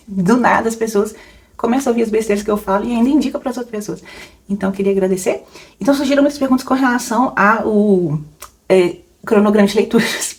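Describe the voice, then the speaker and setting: A woman's voice: fairly high pitch, a little mellow. A young adult woman, a book podcaster rambling casually through questions, laughing often and full of fillers. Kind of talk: casual Q&A chat.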